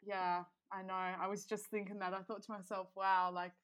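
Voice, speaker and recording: This young woman hosts a relaxed podcast chat in a medium voice. She talks in an upbeat, chatty way, filling pauses with ums and likes. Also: mid-range voice. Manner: chatty, upbeat, lots of fillers. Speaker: podcast host.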